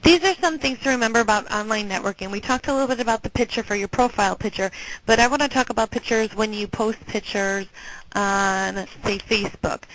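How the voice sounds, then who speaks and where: A woman's voice: medium pitch, a bit bright. A woman giving an informal instructional presentation in a conversational, directive way, often checking in with her listeners. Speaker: presenter, alumni relations career staffer. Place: group conference call. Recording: clearly noisy conference call with clicks.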